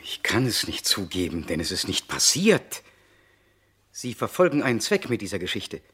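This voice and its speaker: A man's voice: on the low side, sing-song, normal speed, fairly bright tone, breathy edge, heavily acted. An actor playing a troubled, insistent accused man in a crime radio drama. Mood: defensive, indignant. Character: accused male driver Latour, troubled, insistent, indignant.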